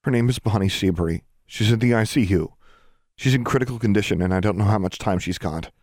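A man's voice: lowish voice, quick pace, a little mellow, no room sound, clean studio sound, natural voice. A man voicing a desperate young girl who pleads insistently and defiantly in an audiobook story. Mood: urgent.

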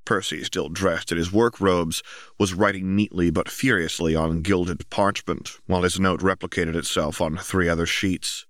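A man's voice: sits low, fairly even intonation, moderate pace, fairly bright tone, no echo, clean studio sound. A man reading scripted story narration as an audiobook narrator.

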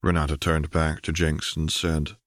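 A man's voice: rumbling low, little pitch movement, normal speed, no echo, studio quality. A man reading a fiction audiobook as narrator, in dramatic scripted narration.

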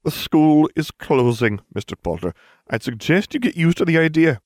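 A man's voice: on the high side, animated, moderate pace, darkish tone, dry recording, studio quality, pitched above the performer's own voice, close to an everyday voice. A man voicing a strict older female headmistress who speaks in a clipped, exasperated way. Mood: stern.

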